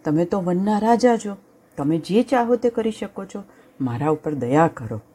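A woman's voice: fairly low pitch, animated, normal speed, dark tone, breathy edge, voice pushed up. A woman voicing a frightened male farmer who begs a lion for mercy in a children's story. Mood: pleading. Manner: pleading, fearful.

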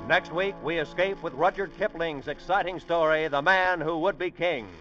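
A man's voice: a little high, normal speed, loud music behind the voice. A man announcing formally in the style of 1950s network radio. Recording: muffled old radio recording.

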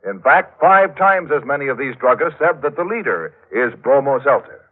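Old time american radio announcer guy, lively delivery, husky, thin tinny sound. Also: mid-range voice, normal speed. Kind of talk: 1940s radio announcing and sponsor read.

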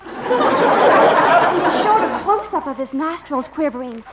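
A swooning dreamy receptionist. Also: female voice, high voice, lively intonation, slow pace, a loud crowd. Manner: breathy, swooning, sighing. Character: dreamy young female receptionist. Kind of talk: 1950s radio comedy acting. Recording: muffled old radio broadcast with hiss.

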